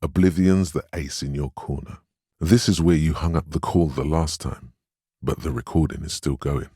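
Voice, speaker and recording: An actor voicing a stern, steadfast inner voice of willpower in a video game, measured and dryly sardonic as he admonishes. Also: very deep voice, fairly even intonation, warm tone, slightly rough, dry recording, studio quality. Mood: encouraging, sardonic. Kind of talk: scripted second-person game narration, inner voice.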